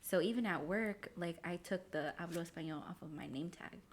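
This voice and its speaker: A woman's voice: on the low side, a little fast, slight background noise. A woman hosting a podcast whose first language is Spanish, chatty and curious as she asks her guest questions. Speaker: podcast host. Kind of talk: casual interview chat.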